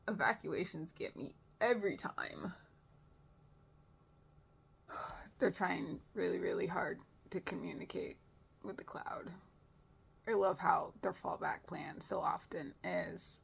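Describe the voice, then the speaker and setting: Expressive woman with a lowish voice. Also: vocal fry, breathy edge. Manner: expressive, self-deprecating, playfully dramatic. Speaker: host of an episode reaction show. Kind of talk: casual reaction commentary.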